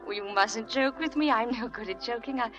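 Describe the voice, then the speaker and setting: A high, girlish-pitched young gentlewoman played by a woman in an old radio romance. She sounds shy, sincere and lovestruck, often hesitant, with faint music beneath the broadcast. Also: brisk, highs cut off, close to an everyday voice. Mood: shy, earnest. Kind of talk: 1940s radio drama acting.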